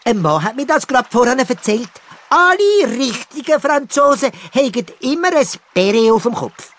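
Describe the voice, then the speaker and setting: A woman voicing a cheerful, excitable little male bird in a children's audio play, speaking with a Swiss German accent and giggling often. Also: on the high side, normal speed.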